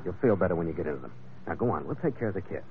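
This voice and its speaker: Blunt American ship's captain, tinny recording. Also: male voice, low voice, animated, very fast. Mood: reassuring. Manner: blunt, clipped, sardonic. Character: tough, wry, protective male ship's captain. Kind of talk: 1940s-50s radio drama acting. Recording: tinny, noisy old radio recording.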